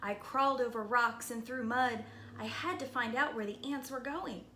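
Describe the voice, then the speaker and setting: A woman preschool teacher reading a picture book aloud expressively, with dramatic slowed emphasis and hushed moments. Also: a little high. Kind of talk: expressive children's read-aloud. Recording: roomy echo, light background noise.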